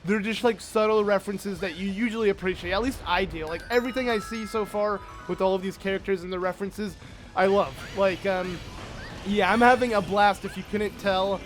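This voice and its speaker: A man who is sick, with a slightly hoarse voice, giving excitable, rambling gameplay commentary full of fillers as a let's play host. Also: high-pitched, a little fast, faint music behind.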